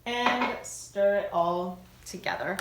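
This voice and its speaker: A woman leading worship with a guided, hands-on prayer, giving gentle, measured instructions with reflective pauses. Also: mid-range voice. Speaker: worship leader. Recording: roomy echo, noise, kitchen clinking.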